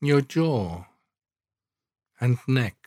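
A man guiding a scripted relaxation meditation in a calm, soothing, measured way, leaving long pauses. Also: mid-range voice, dry recording, clean studio sound. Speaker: meditation guide, podcast host. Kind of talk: guided yoga nidra relaxation meditation, scripted narration.

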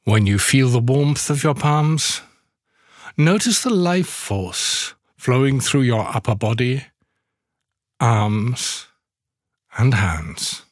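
A man leading a guided yoga nidra meditation, giving soothing instructions slowly with long pauses. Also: medium pitch, animated, dry recording, studio quality.

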